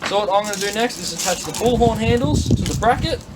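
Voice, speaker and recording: A man with an Australian accent and a fairly high voice walks through a product setup step by step. His delivery is informal and plain-spoken. The recording is clearly noisy, made at a workshop bench. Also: expressive, fast talker. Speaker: product demonstrator. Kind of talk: casual instructional workshop demonstration. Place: indoor workshop at a tool bench.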